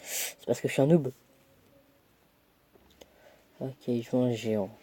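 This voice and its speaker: A man's voice: mid-range voice, steady tone, takes it slowly, mellow, breathy edge. A young man with a cold, casually commentating his own mobile game match, chatty and self-mocking, muttering as he plays. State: stuffy with a cold.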